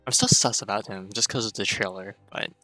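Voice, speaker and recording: A man's voice: fairly low pitch, fairly quick, pushed and bright, soft background music, low-quality recording. A man streaming a game, reacting casually and thinking aloud in slangy speech.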